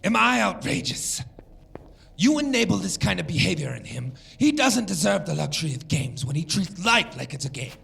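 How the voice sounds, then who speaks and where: A man's voice: sits high, very animated, moderate pace, creaky, fairly bright tone, exaggerated voice. An actor playing a harsh, domineering father in an audio drama, contemptuous and accusing. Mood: scornful anger. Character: harsh, domineering grown father, scornful and unforgiving.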